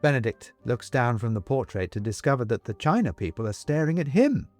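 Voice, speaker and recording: Expressive male storyteller, lively pitch. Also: a little fast, faint music behind. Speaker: audiobook narrator. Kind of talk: scripted story narration.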